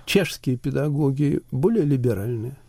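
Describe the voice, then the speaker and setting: A man's voice: medium pitch, animated, normal speed, gravelly, mellow, no echo. A man conducting an interview, asking curious, probing questions. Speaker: radio interviewer.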